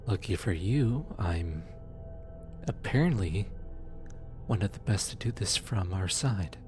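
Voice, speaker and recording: A man playing a gentle, awkward demon soul collector in an ASMR audio roleplay, speaking softly, tenderly and a little haltingly to comfort the listener. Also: sits low, lively intonation, soft background music. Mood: sympathetic. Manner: hesitant, halting, tender, casual.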